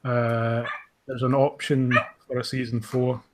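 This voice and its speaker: A man answering casually as a creator guest on a podcast, in a measured, matter-of-fact manner. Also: mid-range voice, flat delivery, crowd noise. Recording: clearly noisy recording with clicks.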